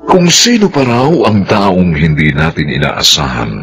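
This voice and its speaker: A man's voice: low voice, huge pitch swings, unhurried, over loud music. A man with a Filipino accent hosting and narrating a radio drama in a solemn, theatrical scripted style.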